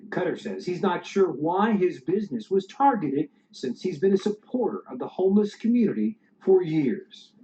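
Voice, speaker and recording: A male news reporter delivering a measured television news report. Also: high voice, sing-song, warm tone. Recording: dull TV clip audio.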